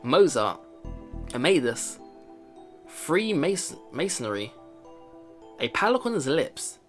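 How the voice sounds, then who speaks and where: Male let's player, animated and fairly high, reading story text and musing, game music behind. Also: takes it slowly. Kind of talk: reading a visual novel aloud with commentary. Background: visual novel game music.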